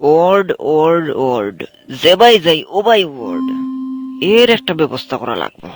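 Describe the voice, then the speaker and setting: A man playing an excitable, scheming comic cartoon character with a Sylheti accent and a theatrical, exclamatory delivery. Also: on the high side, normal speed. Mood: annoyed. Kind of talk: Bengali comedy cartoon voice acting. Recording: music behind the voice.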